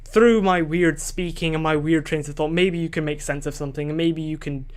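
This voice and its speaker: A man's voice: a little high, steady tone, a little fast, smooth. A young man recording a solo podcast into a desk microphone, rambling and questioning himself, losing his train of thought and swearing casually.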